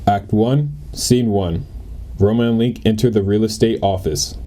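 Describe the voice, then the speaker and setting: A man's voice: fairly low pitch, measured pace, warm tone, lightly acted. A young man playing a formal announcer who reads stage directions in a radio play. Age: young adult. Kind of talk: scripted scene announcing.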